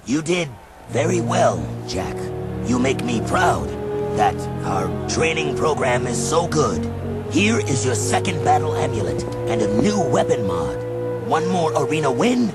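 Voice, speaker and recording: Proud desert ruler, medium pitch, expressive range, authoritative, formal, dramatic video game. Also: male voice, a little slow, over loud music. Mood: proud, stern. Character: commanding, proud older desert king.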